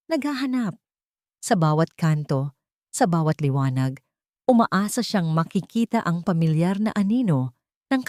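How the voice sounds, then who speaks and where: A woman's voice: fairly low pitch, mellow, no echo, studio quality. A woman narrating a scripted romantic drama story in a dramatic storytelling style.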